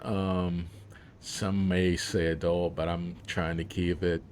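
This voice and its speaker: A man's voice: sits low, little pitch movement, takes it slowly, a little mellow, a little hiss or hum. A man, an author, talking about his book in a casual interview, rambling with plenty of fillers and laughs.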